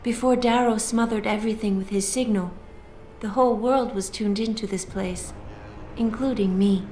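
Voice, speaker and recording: A woman's voice: mid-range voice, fairly even intonation, moderate pace, dark tone, lightly acted. An enigmatic female artificial intelligence who reads the news in a video game, played by an actress. She is formal, solemn and composed. Her voice echoes over faint music. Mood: solemn composure.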